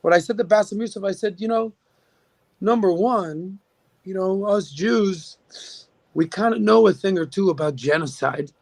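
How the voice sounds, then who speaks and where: A man with a high, gravelly voice makes his case in a casual podcast talk, piling up figures and dropping in fillers and restarts. The room sounds dry and close to the mic. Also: normal speed, dry recording. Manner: opinionated, argues with numbers, many fillers. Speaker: podcast guest. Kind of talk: casual political chat.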